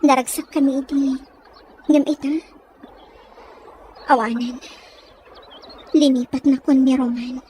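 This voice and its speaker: High-pitched, wistful young Filipina played by an actress. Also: female voice, steady tone, a little slow, pauses often, warm tone, a little hiss or hum. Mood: longing. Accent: Ilocano Filipino. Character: lovelorn young provincial Filipina daughter, wistful and dutiful. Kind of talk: audio comedy-drama acting.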